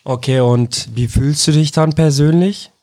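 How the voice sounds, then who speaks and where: A man's voice: fairly high pitch, unhurried, darkish tone, no echo. Dry-sounding male interviewer from Iraq. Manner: informal, rephrases his questions several ways. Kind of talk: casual youth interview.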